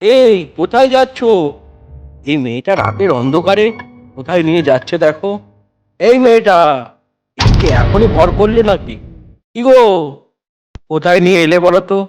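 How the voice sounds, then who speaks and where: A woman voicing a frightened young woman who calls out anxiously in the dark. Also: on the low side, animated, normal speed, pauses often, faint music behind, lightly acted. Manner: anxious, calling out.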